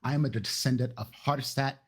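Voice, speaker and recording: A man voicing an entitled, haughty vampire businessman in a tabletop game, pompous, loud and declamatory. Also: mid-range voice, steady tone, fast, husky, lightly acted. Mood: haughty impatience.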